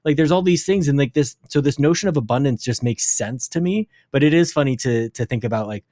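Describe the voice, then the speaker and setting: A man's voice: mid-range voice, a little fast, mellow, no room sound, studio quality. A man hosting a gaming podcast, talking in a casual, thoughtful way. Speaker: podcast host. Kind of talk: casual conversation.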